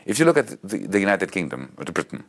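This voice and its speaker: A man with a Greek accent arguing politics in an interview, emphatic and animated, piling up examples. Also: sits low, quick pace, gravelly. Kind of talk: impassioned political argument.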